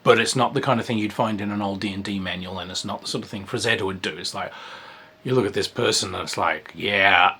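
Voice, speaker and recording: A man's voice: fairly low pitch, quick pace, sharp tone, slightly rough. A man giving a casual spoken review of a tabletop game, rambling and hesitant, with frequent fillers and dry asides.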